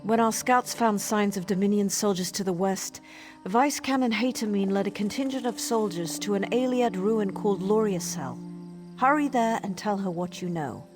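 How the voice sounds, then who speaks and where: A woman's voice: medium pitch, normal speed, music in the background, lightly acted. An actress playing a stern female military officer in a fantasy video game, formal and authoritative. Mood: stern, businesslike.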